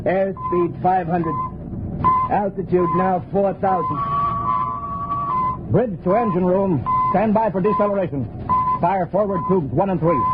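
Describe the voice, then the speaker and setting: A crisp spaceship officer barks formal orders in an old radio play. His voice is high for a man. It comes through a tinny recording thick with loud engine noise. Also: unhurried, long pauses. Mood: firm, tense. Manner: clipped, formal commands. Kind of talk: old-time radio drama acting.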